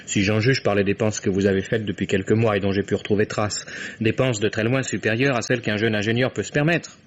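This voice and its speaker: Acteur en jeune enquêteur insistant, son radio sourd. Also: male voice, on the low side, fairly even intonation, quick pace, close to an everyday voice. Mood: accusing. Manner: formal, pointed. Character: young male investigator, insistent, methodical accuser. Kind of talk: old-time French radio mystery acting. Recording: dull, noisy vintage radio recording.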